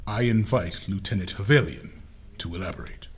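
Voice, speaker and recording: A man's voice: low-pitched, lively intonation, moderate pace, slight background noise. A man playing an admiral who leads a classified summit in a clipped, businesslike tone. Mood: brisk. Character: brisk male admiral leading a secret summit.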